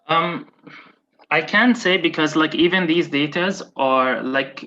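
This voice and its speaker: A man speaking at an online panel about migrant workers, talking haltingly with many fillers and restarts. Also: medium pitch, sharp tone, muffled recording, slight background noise. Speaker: migrant rights advocate. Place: in Bahrain.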